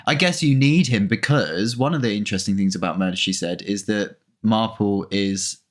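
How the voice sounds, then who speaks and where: Male podcast co-host, lowish pitch, casual commentary chat, wry interjections. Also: moderate pace.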